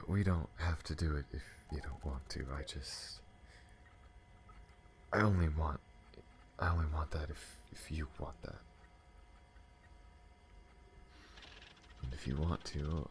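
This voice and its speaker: A man playing an alien spaceship captain in an intimate ASMR audio drama, speaking haltingly. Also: a bass voice, slight background noise. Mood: shy, affectionate. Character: adult male alien spaceship captain, tender lover, hardened to enemies.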